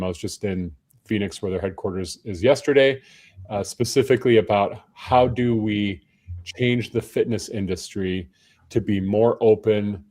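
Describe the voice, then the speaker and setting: Tired, hoarse man chatting casually. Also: fairly low pitch, a little mellow. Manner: chatty and self-deprecating, with frequent restarts. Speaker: panel guest, personal trainer. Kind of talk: casual panel conversation.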